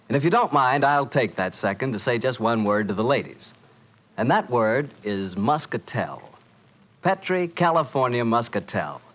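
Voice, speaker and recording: A man's voice: medium pitch, a bit bright. A man with an American accent reading a folksy, persuasive 1940s radio sponsor message. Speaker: radio announcer and sponsor pitchman. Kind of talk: 1940s radio advertisement read.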